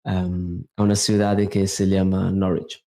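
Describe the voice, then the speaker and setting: A man in his thirties with a low, mellow voice speaks as a guest in a casual interview. His accent is non-native and Portuguese-influenced, and he talks very slowly, searching for words and mixing languages. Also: level tone, vocal fry. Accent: non-native, Portuguese-influenced Spanish. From Brazil, raised in England from thirteen. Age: 30s.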